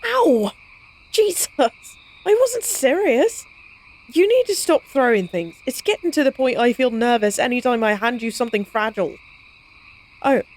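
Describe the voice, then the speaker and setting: Woman playing a high-voiced, flippant young-sounding vampire lady. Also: a little mellow, lightly acted. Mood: indignant, then defensive, casual. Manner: flippant, teasing, wry, casual. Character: playful, cocky female vampire lab partner, science-obsessed, teasing. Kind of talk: intimate audio roleplay, conversational acting.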